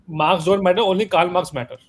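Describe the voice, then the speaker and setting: A man's voice: high-pitched, fairly even intonation, fast talker, over a noisy crowd. A man with an Indian English accent in a casual group chat, jokey and mixing in Hindi. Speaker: panelist.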